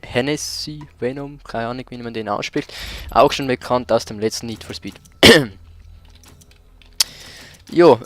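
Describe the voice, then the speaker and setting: A man's voice: medium pitch, no room sound. A man doing casual let's play commentary as host, with a somewhat hesitant delivery, searching for his words.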